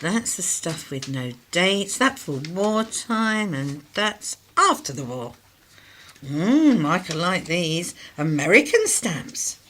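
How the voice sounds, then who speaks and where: Sarcastic British housewife, swooping voice. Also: female voice, fairly low pitch, big pitch swings, slow pace, fairly bright tone, slight background noise, heavily acted. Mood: weary. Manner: sarcastic, clipped, irritable. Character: weary, sarcastic young working-class wife and mother in 1950s Britain. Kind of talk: radio drama acting.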